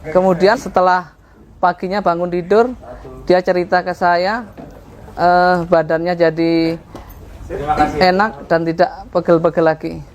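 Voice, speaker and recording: A man's voice: high-pitched, level tone, measured pace, pauses often. A man from East Kalimantan giving a plain spoken testimonial as a company employee. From East Kalimantan, Indonesia. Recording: clear ambient background noise.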